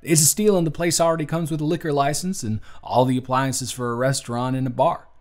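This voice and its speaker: A man's voice: medium pitch, level tone, fast talker, darkish tone, lightly acted. A man with a Southern US accent playing an earnest, charming young bartender in love in an intimate audio roleplay. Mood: eager, persuasive. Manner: earnest, conversational, sweet-talking. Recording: music playing under the voice. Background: acoustic music.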